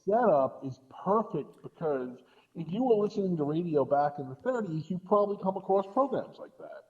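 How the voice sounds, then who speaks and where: A man's voice: sits high, big pitch swings, normal speed, narrow, tinny audio. A man in a casual podcast discussion, telling things in a rambling way with repeated false starts. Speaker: podcast guest co-commentator.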